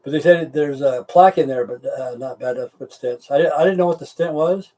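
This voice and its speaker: Middle-aged or older American male streamer, mellow and relaxed tone, blunt folksy rambling with plenty of swearing. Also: a little high, fairly quick, far from the mic. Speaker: live stream host. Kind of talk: casual rambling chat with viewers.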